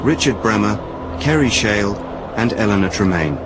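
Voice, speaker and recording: Man, radio announcer, scripted introduction, lowish voice, loud outdoor background noise. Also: expressive, normal speed. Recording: loud outdoor ambience behind voice.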